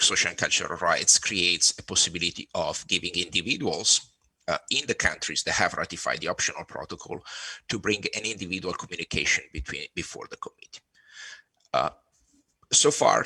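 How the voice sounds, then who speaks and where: Deep, bright-toned man. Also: husky, no echo, low-quality recording. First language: Italian. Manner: halting, with many repeated words. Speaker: law professor, panelist. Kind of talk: conference talk on a webinar.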